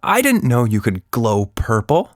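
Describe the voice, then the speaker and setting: A man voicing an adventurous, excitable young girl in an eager, exclamatory storybook style. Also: medium pitch, unhurried, no room sound, clean studio sound. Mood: amazed.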